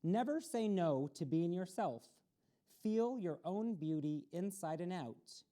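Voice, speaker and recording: A woman's voice: lowish voice, lively intonation, takes it slowly, warm tone. A woman reading a picture book aloud to children on a community TV storytime and encouraging them. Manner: encouraging, talks straight to children. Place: community access TV studio.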